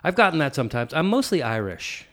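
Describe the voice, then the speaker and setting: A man hosting a podcast, interviewing a guest in relaxed casual chat with many fillers and restarts. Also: on the high side, fast talker. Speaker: podcast host.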